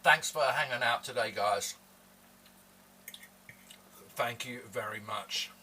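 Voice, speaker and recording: A man's voice: mid-range voice, slow, sharp tone, far from the mic, a little hiss or hum. A man chatting casually with viewers on a live stream while eating, teasing and joking with his chat. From born in Hong Kong, raised in Australia. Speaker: livestreamer.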